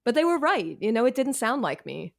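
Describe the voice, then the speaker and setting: A woman's voice: a little high, very animated, fairly quick, creaky. A woman chatting casually as an interview guest, candid and wryly self-deprecating. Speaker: guest author and essayist.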